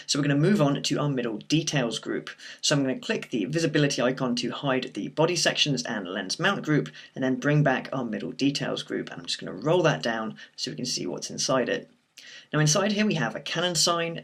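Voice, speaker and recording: A man with a croaky voice from a sore throat, talking through a screen-recorded software tutorial in a steady explanatory way. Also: mid-range voice. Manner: steady explanatory walkthrough with occasional fillers.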